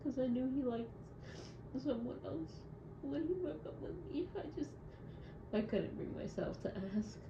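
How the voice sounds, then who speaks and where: A young adult woman speaking in a hesitant, halting and reflective way, as in a confessional audio diary. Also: high-pitched, animated. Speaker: audio diarist. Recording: muffled, echoey room with loud noise.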